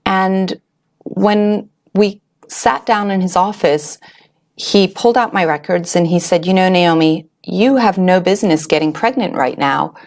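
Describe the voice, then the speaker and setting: A woman's voice: fairly low pitch, unhurried, darkish tone. A middle-aged woman from Switzerland telling her own story to an audience, earnest and reflective, with small fillers and restarts. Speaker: wellness author and host. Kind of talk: personal story told to an audience.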